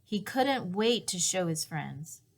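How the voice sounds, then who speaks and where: A woman's voice: fairly low pitch, expressive, takes it slowly. A woman narrating a children's storybook as a read-aloud. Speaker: storybook reader.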